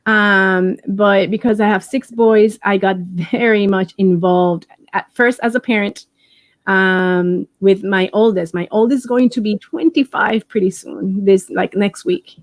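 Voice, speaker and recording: A woman in her forties with a Puerto Rican accent speaks at a mid pitch, warm and encouraging. She often restarts and repeats words as she chats. The recording is dry, with no room sound. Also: fairly even intonation, unhurried, dry recording. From Puerto Rico. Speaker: interview guest. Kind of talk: casual interview.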